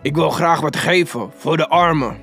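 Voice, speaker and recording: A man voicing a hearty, exclamatory old man, giddy and generous with a mock-gruff streak, in a storybook reading. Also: on the high side, normal speed, fairly bright tone, faint music behind. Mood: cheerful, polite.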